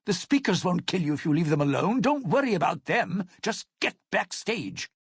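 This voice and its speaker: An actor playing a cynical, self-serving lounge singer in a video game, with a raspy, gravelly voice and a wry, theatrical, menacing delivery. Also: male voice, high-pitched, very animated, moderate pace, no echo, clean studio sound, full character voice. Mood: agitated, scheming. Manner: wry, theatrical, hesitant muttering turning to threats. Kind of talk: video game character dialogue.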